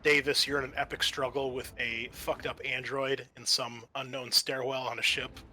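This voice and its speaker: A man's voice: mid-range voice, flat delivery, normal speed, background noise. A man hosting as game master, narrating a tabletop roleplaying game in a casual, often profane manner.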